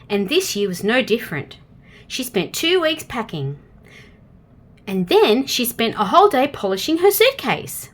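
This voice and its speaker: A woman with an Australian accent reading a children's storybook aloud in an expressive way, addressing young listeners directly. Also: on the high side. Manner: expressive, asks the listener questions.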